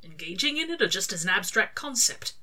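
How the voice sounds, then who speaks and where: A woman voicing a casual, teasing male demon with a soft side, in clipped, informal story dialogue. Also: medium pitch, big pitch swings, fairly quick, heavily acted. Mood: curious, teasing.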